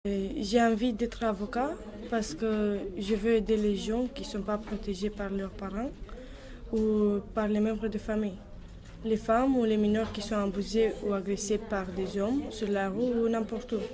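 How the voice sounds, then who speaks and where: Young woman, medium pitch, personal testimony. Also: little pitch movement, normal speed, crowd noise. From outside France. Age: young adult. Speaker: high school student.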